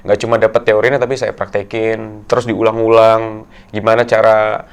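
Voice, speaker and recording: A young man from Tegal, a guest in a casual interview, talking in a soft, halting way with many fillers. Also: on the low side, monotone, vocal fry, clear, resonant tone. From Tegal, Central Java, Indonesia. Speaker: guest actor.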